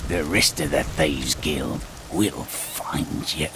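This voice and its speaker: Threatening thug, real deep and slow, heavy rain noise behind. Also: male voice, gravelly, full character voice. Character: menacing male thug from a thieves' guild.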